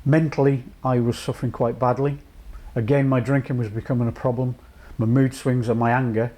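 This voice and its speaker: A man from North Wales in his late fifties, a charity chief executive giving a measured, reflective personal account with many pauses. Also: medium pitch, flat delivery, dark tone. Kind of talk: personal testimony to camera.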